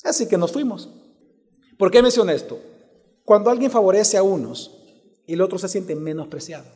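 High-voiced Salvadoran pastor preaching. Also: male voice, moderate pace, warm tone, long reverb tail. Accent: Salvadoran Spanish. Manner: builds to emphatic preaching, quizzes the congregation. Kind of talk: sermon with personal story.

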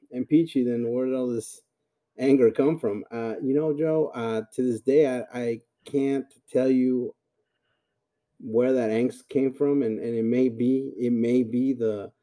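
A man in his forties speaks as a podcast guest in a very dark, mellow voice of medium pitch. He is thoughtful and polite, feeling his way haltingly through reflective, conversational answers. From El Salvador. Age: 40s.

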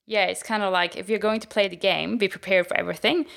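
Gravelly-voiced woman interviewer from Sweden. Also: mid-range voice, steady tone, fast, fairly bright tone. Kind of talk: interview questions and comments.

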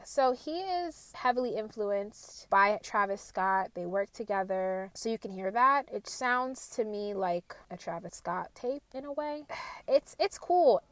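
A woman's voice: mid-range voice, no room sound. Chatty woman in her twenties reviewing albums. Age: 20s. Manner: chatty, animated, laughs often. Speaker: music reviewer.

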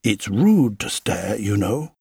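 A man acts out a doting old father, a woodcarver in a storybook. He speaks slowly and with emphasis. The tone is very breathy and husky, proud and gently instructive. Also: fairly low pitch, big pitch swings, no echo, clean studio sound, heavily acted. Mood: fond, instructive. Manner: deliberate, fond, emphatic. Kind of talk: audiobook character voice.

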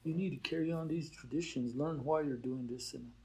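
Unhurried older man with a mellow voice. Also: fairly high pitch, low-quality recording. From Oklahoma. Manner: unhurried, reflective, frequent fillers like "you know". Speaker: teacher at a boarding school. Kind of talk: relaxed interview conversation.

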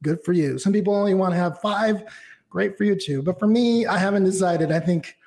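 High-voiced reflective man, interview guest. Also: a little fast, darkish tone. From Mexico. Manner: reflective, talks in long winding sentences with fillers. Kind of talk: casual interview.

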